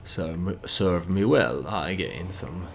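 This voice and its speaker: Slow, low-pitched man narrating a game. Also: creaky, slight background noise. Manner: halting, thinking aloud, speaking as his character. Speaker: let's play host. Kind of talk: game commentary with storytelling, unscripted.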